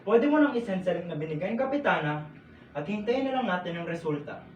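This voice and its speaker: A woman's voice: on the low side, lively intonation, fast talker, far from the mic, highs cut off, a little hiss or hum, close to an everyday voice. A woman playing a cheerful, proud, encouraging father in a family stage play. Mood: proud, hearty. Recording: echoey stage from afar, music behind.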